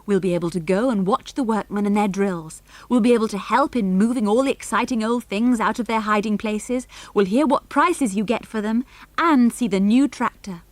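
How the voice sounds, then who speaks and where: A woman's voice: mid-range voice, moderate pace, no echo, natural voice. A woman voicing an enthusiastic, polite young girl in a children's adventure audiobook. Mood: eager.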